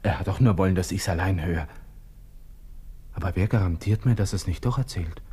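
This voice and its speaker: Husky, low-voiced Austrian lieutenant in despair. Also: male voice, a little fast, mellow. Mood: anxious, furious. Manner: agitated, brooding, breaking into whispers and outbursts. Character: proud, agitated Austrian army lieutenant in despair. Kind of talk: interior monologue in a radio play.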